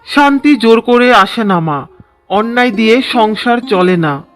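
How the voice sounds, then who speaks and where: A man's voice: very high voice, expressive, unhurried, a bit bright, soft background music, full character voice. A man playing an earnest, reproachful young village son in a cartoon drama. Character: indignant, dutiful young married village son. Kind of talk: Bengali cartoon drama dialogue.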